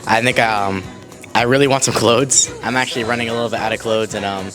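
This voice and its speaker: A man's voice: medium pitch, steady tone, quick pace. Young man chatting over music. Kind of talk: casual interview answer. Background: background music.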